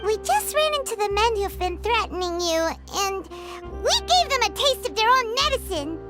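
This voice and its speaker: Perky, nosy little game sidekick, extremely high, animated pitch. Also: female voice, normal speed. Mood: eager. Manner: perky, blunt. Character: tiny, squeaky, excitable, nosy childlike companion creature. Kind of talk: video game voice acting. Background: loud music.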